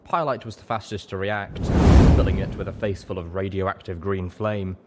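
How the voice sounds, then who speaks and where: A man's voice: sits low, steady tone, moderate pace, heavy background noise. A man reading a story aloud as scripted, dramatic narration. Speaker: audiobook narrator.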